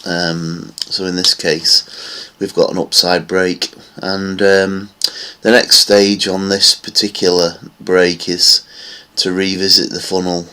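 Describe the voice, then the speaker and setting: A man's voice: low-pitched, level tone, slow, few pauses, pushed and bright, clear, resonant tone. A man giving casual, rambling commentary on silver price charts, with many fillers. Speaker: market analysis vlogger.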